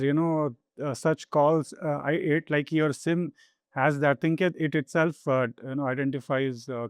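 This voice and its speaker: Smooth, higher-voiced Indian man. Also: no room sound. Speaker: podcast host. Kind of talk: casual podcast conversation, mixing Hindi and English.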